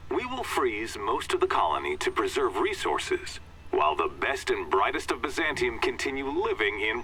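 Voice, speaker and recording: A man's voice: medium pitch, pushed and bright, a little hiss or hum, poor audio. An actor playing a pompous, foul-tempered male colony chairman who switches between a polished public address and profane rants. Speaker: corporate chairman.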